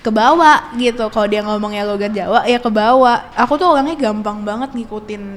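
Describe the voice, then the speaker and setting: A woman's voice: fairly high pitch, a little fast, a little hiss or hum. A teenage girl talking casually as a guest on a school podcast, chatty, with many fillers and frequent laughter. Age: teens.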